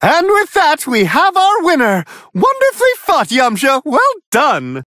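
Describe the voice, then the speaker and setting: A man voicing a serene, refined angel attendant who gives polite, flowery, amused fight commentary in a video game, in a high, airy voice. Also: wildly swooping pitch, unhurried, pushed and bright, dry recording, heavily acted. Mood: delighted, excited. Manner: polite, flowery, genteel phrasing.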